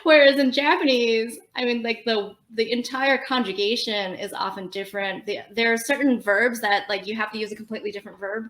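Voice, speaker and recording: A woman's voice: a little high, fairly even intonation, a little fast, hardly any pauses, a bit bright. Chatty young woman in a roomy-sounding room. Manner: chatty, explanatory, frequent fillers and laughs. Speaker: podcast guest. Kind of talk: casual conversational interview. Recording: roomy echo.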